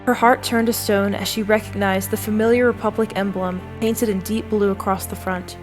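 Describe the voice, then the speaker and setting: A woman giving scripted dramatic narration for an audio drama, telling the story in a measured, solemn way. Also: medium pitch, steady tone, over music.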